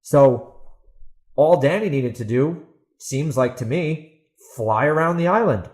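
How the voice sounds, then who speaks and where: A man's voice: on the high side, slow, a little mellow. A man hosting a podcast in a casual, opinionated rant, speaking bluntly and emphatically with incredulous rhetorical questions. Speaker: podcast host and author.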